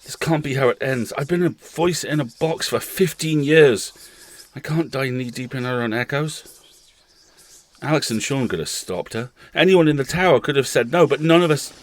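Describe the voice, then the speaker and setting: A man's voice: medium pitch, moderate pace, lightly acted. A man playing an anguished captive storyteller in a theatrical audio horror monologue, moving between muttering and outcry. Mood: desperate defiance. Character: desperate, anguished captive storyteller trapped by a witch.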